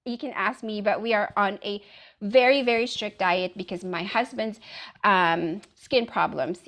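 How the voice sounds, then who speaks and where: A woman's voice: mid-range voice, a little slow, fairly bright tone. A middle-aged woman from the Philippines giving a casual presentation with slides, with lots of "um" fillers. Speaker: wellness speaker.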